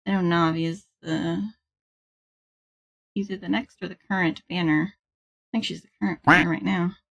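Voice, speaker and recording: Woman game streamer, casual and giggly, mid-pitched with a raspy, creaky edge. Informal live chat. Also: a bit bright. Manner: bubbly, giggly, chatty greetings. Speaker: livestreamer hosting a gaming stream.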